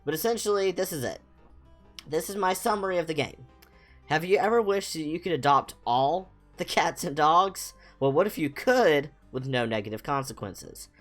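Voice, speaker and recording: A man's voice: a little high, animated, moderate pace, fairly bright tone, faint music behind. A young man chatting casually as a livestream host, rambling informally with a self-deprecating manner. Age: young adult. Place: small home room, indoors.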